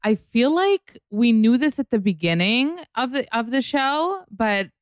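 A woman's voice: on the high side, big pitch swings, a little slow, clear, resonant tone, no room sound, clean studio sound. A woman podcast host talking casually and chattily, with lots of "like" and "you know". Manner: bubbly, gossipy, many fillers like "like" and "you know". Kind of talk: casual interview chat and ad read.

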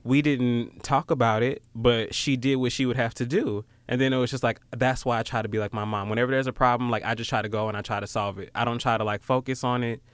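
A young American man with a clear, smooth voice in a very dry recording. He talks informally and thoughtfully, acting out remarks as he goes, in a middle pitch. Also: fairly even intonation, fast, few pauses, no room sound. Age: late 20s. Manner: informal, reflective, acts out quoted remarks. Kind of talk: casual conversational talk.

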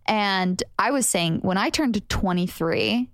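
A woman's voice: medium pitch, expressive, takes it slowly, fairly bright tone, no echo. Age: 20s. Sex: woman. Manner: chatty and reflective, dry sound. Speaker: podcast guest. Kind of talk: casual chat.

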